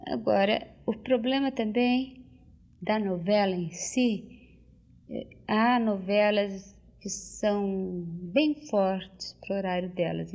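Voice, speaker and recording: An older woman, recalling things as an interviewee and often pausing to search for words and restart. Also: medium pitch, a little mellow. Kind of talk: casual spoken reminiscence. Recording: dull lo-fi tape recording.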